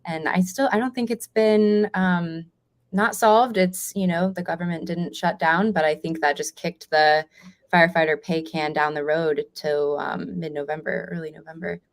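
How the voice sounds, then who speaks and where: An American woman with a distinctly low voice hosts an interview as a reporter. She speaks conversationally and informally, agreeing with her guest as she moves between questions. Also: steady tone, normal speed.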